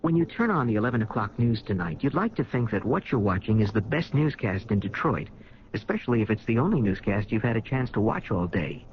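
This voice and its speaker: A man's voice: on the low side, very animated, brisk. Guy doing a salesy news promo, bouncy delivery, muffled old radio. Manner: persuasive, salesy. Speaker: TV promo announcer. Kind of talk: scripted ad read. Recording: dull lo-fi 1970s radio recording.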